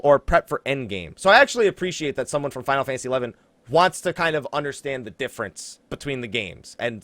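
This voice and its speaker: A man's voice: fairly high pitch, a bit bright, no room sound, clean studio sound. A man hosting a gaming podcast, chatting casually and emphatically and reading out listener questions. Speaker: podcast host. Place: indoors, online voice call.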